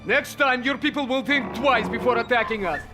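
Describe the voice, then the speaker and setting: A man's voice: extremely high pitch, moderate pace, over music. A male actor playing a hot-headed, vengeful Soviet army captain in a video game, insistent and defiant. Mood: furious. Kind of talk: dramatic video game acting.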